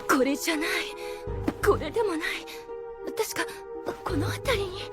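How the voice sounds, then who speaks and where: A woman's voice: very high voice, expressive, moderate pace, over music. A woman playing a devoted, scheming royal retainer with refined, formal speech in an anime audio drama. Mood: frantic, elated.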